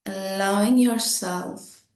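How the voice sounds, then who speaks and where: A woman meditation teacher leading a guided meditation in short, gentle, unhurried phrases with pauses between them. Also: mid-range voice, steady tone. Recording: roomy, echoing sound.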